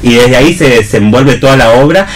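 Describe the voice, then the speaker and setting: A man's voice: mid-range voice, expressive, brisk. A man with an Argentine Spanish accent explaining things off the cuff as a theatre actor on radio, discursive and often checking he is followed. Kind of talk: unscripted explanatory talk.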